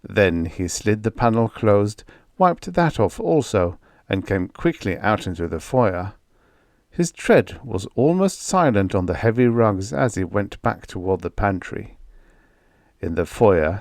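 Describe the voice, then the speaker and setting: A man reading a vintage science fiction story aloud as an audiobook narrator, in a measured storytelling delivery. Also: on the low side, very animated, a little mellow, no echo, clean studio sound.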